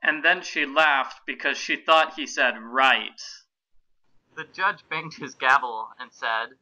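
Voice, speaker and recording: A man's voice: medium pitch, slow, bright, forceful tone, rough recording. A man hosting and narrating a comic amateur reading of fan fiction, in an amused, mock-serious way. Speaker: podcast host and narrator.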